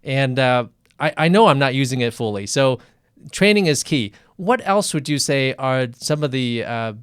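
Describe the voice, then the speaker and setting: A man with a smooth, clean voice at a medium pitch hosts a conversational interview. He speaks haltingly and often repeats a phrase before going on. The sound is dry, from a treated room. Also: expressive, no room sound. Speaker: physician interviewer hosting a livestream.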